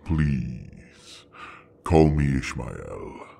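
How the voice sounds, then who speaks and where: A man playing an ancient, bookish dragon, proud and possessive, with a deep gravelly voice and a formal, grandiose way of speaking in a fantasy audio drama. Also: very animated, warm tone, slight background noise, exaggerated voice. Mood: curious. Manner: slow, formal, grandiose speech.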